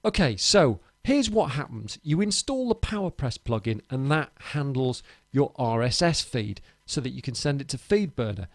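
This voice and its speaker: A man's voice: medium pitch, big pitch swings, normal speed, dry recording. A man giving an informal screencast tutorial in a chirpy, emphatic, chatty presenter's manner. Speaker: podcast host giving a tutorial.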